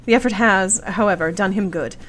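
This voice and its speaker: An actress playing an earnest, tender young Victorian wife in a radio play, with formal period diction. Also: female voice, medium pitch, a little fast, fairly bright tone, a little hiss or hum, natural voice. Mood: fervent.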